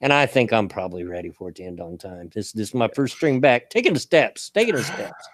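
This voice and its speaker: A man's voice: on the low side, sing-song, a little fast, no echo, clean studio sound. An older man with a scratchy voice and a Hoosier-Kentucky accent, hosting a casual stream chat and laughing often. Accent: Southern Indiana and Kentucky. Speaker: show host.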